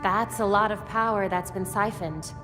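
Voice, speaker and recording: A woman playing a sharp, analytical young vigilante tech expert in a video game cutscene. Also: mid-range voice, normal speed, lightly acted. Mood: focused. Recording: reverberant hall, loud music behind.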